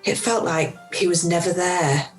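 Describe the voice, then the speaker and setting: A middle-aged mother played by a woman confides in a radio play with a slightly low voice. She is weary and emotional with a wry streak. It is an amateur video-call recording, with faint music behind her. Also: a little slow, natural voice. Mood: regretful. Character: Frankie, weary emotional mother of grown children, wryly confiding.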